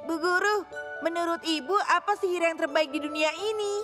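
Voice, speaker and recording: A woman's voice: extremely high pitch, fairly quick. A woman voicing a brave, helpful young cartoon hero in a dubbed children's show. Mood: earnest. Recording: loud music behind.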